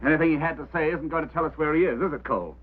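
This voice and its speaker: An actor playing a stern, impatient boss of a flying operation in a 1950s drama, curt and sarcastic, on a noisy vintage soundtrack. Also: male voice, a little high, racing, narrow, tinny audio, close to an everyday voice. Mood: irritated, sarcastic. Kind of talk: 1950s television drama acting.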